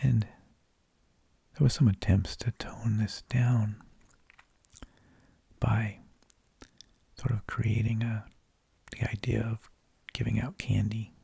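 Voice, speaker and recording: A man whispering an ASMR narration in a hushed, breathy, gravelly voice, unhurried, with drawn-out phrases and pauses, close to the microphone. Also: on the low side, no room sound. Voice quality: breathy, mostly unvoiced whisper with gravelly creak. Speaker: ASMR host.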